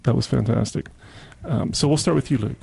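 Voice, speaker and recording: A man's voice: medium pitch, fairly quick, gravelly, darkish tone, husky. An American man hosting a casual community radio interview, chatting and murmuring agreement as he goes. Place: radio studio.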